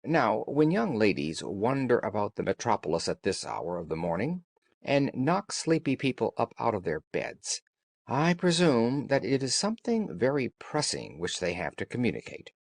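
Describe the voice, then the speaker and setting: A man voicing a brisk, observant gentleman detective in a storybook, courteous, precise and assured. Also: medium pitch, sing-song, creaky, no room sound, studio quality. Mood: brisk, apologetic. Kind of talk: audiobook character dialogue.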